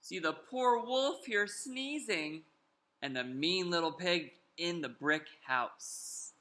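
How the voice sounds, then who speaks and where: A storyteller reading a picture book aloud to children in a theatrical, animated way with drawn-out emphasis, in a roomy home recording. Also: mid-range voice. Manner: theatrical, drawn-out emphasis, invites listeners to join in.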